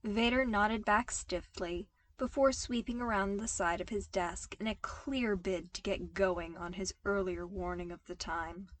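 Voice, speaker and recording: A woman narrates a piece of fiction. Her pitch sits in the middle for a woman, and she reads from a script as a storyteller. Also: steady tone, measured pace, few pauses. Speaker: podfic narrator.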